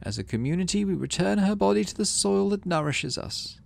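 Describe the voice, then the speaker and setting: A man voicing a solemn female colony governor in a game, speaking a funeral eulogy in slow, measured, grave oratory. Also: high-pitched, lively intonation, vocal fry, no room sound, pitched above the performer's own voice, exaggerated voice. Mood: solemn, grieving.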